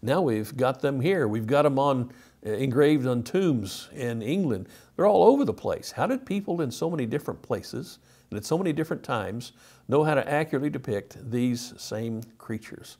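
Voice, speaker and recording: A man's voice: mid-range voice, lively intonation, moderate pace, darkish tone. A man, presenting a lecture as a teacher, emphatic and given to rhetorical questions. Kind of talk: illustrated lecture to camera. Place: indoor studio.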